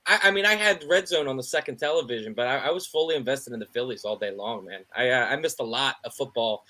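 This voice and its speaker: A man co-hosting a sports podcast, chatting casually and excitably about his team. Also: mid-range voice, quick pace. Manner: excitable, animated, says "bro" and "man". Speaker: podcast co-host.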